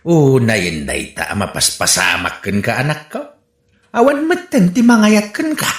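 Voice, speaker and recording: A man's voice: medium pitch, big pitch swings, moderate pace, close to an everyday voice. An actor playing a baffled, fatherly old man with a Filipino accent in a comedy radio drama, theatrical and exclamatory. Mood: consoling. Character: elderly Filipino father, baffled, consoling his son. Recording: roomy echo, faint music.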